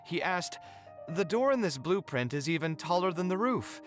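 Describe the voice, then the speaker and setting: An earnest young architect speaks in polished anime game dialogue. He is expressive and a touch wry, with an articulate, reflective storytelling delivery. Music plays under the voice. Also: high voice, normal speed. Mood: nostalgic, sheepish. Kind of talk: anime-style video game voice acting.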